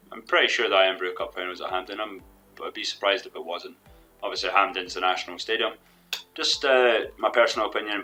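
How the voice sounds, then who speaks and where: A young man commentating his own football management game in a rambling, hesitant way, his voice a little hoarse from losing it. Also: sits low, sharp tone. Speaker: gaming commentator. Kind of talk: let's play commentary. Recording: faint background music.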